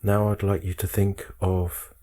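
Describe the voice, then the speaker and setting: A man guiding a meditation, speaking calmly in measured short phrases with frequent pauses. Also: deep voice. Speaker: meditation guide. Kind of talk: guided meditation instruction.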